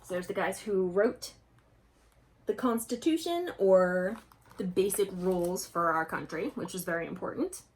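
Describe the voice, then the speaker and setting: A woman reads a children's picture book aloud with a lively, swooping delivery. Her voice is medium-pitched, and she moves between expressive reading and chatty explanations aimed straight at young listeners. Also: measured pace. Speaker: children's book reader and presenter.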